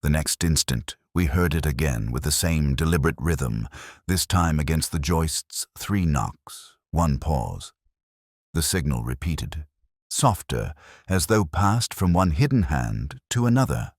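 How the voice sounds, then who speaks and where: A man narrating a scripted detective story in a measured, atmospheric storytelling manner. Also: a bass voice, lively intonation, dry recording, clean studio sound.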